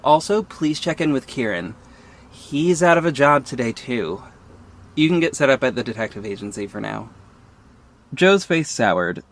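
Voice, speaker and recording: Man acting wry young boss, animated delivery. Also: mid-range voice, lightly acted. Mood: wry, businesslike. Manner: wry, dramatic. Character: young, petty, sarcastic company head and narrator. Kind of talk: first-person audio drama narration and dialogue.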